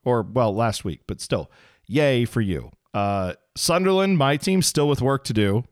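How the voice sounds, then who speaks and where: Hoarse thirtysomething male podcast co-host, chatty and self-mocking, dry recording. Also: mid-range voice, expressive, measured pace, dry recording. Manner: rambling, self-deprecating storyteller. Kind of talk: casual sports chat. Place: home basement.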